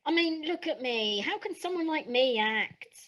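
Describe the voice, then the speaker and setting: A woman's voice: high voice, lively intonation, moderate pace, a bit bright, lo-fi sound. A woman playing an anxious, self-doubting stage actress, earnest in an audio play. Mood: anxious, downcast.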